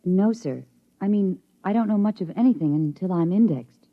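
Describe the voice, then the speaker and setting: A woman's voice: on the low side, normal speed, no room sound. A woman playing a nervous, deferential young spaceship crew member in 1950s radio drama style. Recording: muffled vintage radio recording.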